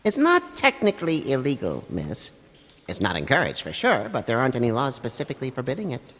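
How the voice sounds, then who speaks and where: An eccentric, bookish video game servant, polite and pedantic. He rattles along quickly with extremely animated pitch swings, in a long reverberant space. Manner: formal, fussy, pedantic.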